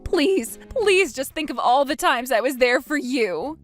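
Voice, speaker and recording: A woman's voice: animated, measured pace, faint music behind. A woman voicing a desperate, self-pitying sister-in-law who pleads and whines. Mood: pleading. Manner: pleading, shrill and tearful.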